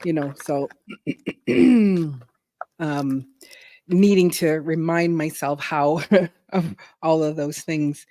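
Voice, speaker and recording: A middle-aged woman from Canada hosting a casual live stream, chatty, laughing often and clearing her throat. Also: low voice, extremely slow, long pauses, mellow, no room sound. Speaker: host.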